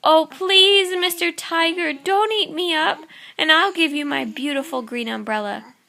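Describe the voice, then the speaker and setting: A small, scared storybook boy squeals his pleas up high and loud, put on by a woman reading to a child. The voice is bright and tense, with a gravelly catch at the edges. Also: a little slow, heavily acted. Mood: pleading. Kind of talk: storybook read-aloud.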